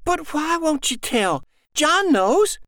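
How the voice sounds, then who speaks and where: A man's voice: very high voice, sing-song, slow, a bit bright, dry recording, clean studio sound, voice pushed up, full character voice. A man voicing a persistent four-year-old girl with a small piping voice. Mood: pleading.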